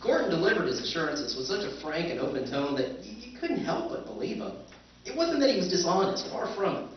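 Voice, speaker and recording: A man's voice: high voice, sing-song, a little fast, slight background noise. A man narrating and playing a wry male surveyor, telling the story with dry humor in a live radio drama recreation. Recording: echoey hall, far mic, muffled, music.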